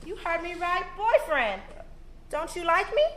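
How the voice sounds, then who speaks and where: A confident teenage girl, played by an actress, teasing and bossy with fast comebacks. Her voice is high and bright. It is recorded with stage echo and a faint hum of the room behind. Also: big pitch swings, full character voice. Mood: playful. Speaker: stage actor.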